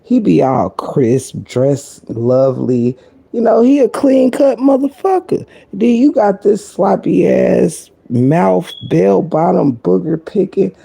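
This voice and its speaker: Woman live-streamer, deep and croaky, very dark tone, blunt sassy commentary delivered quietly. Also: lively intonation, slow pace. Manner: sassy and blunt, heavy cursing; talking low to keep quiet.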